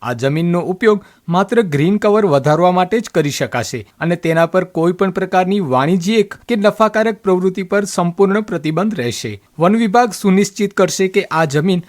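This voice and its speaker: High voiced gujarati accent man doing a scripted radio news report. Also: moderate pace, few pauses.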